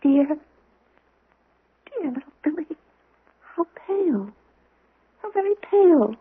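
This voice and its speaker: An actress playing a sweet, confused young woman with a fogged memory in a 1970s radio drama, speaking in a breathy, wondering, faltering way. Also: very high voice, very animated, slow, no room sound, full character voice. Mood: tender. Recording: muffled, narrow-band old radio broadcast.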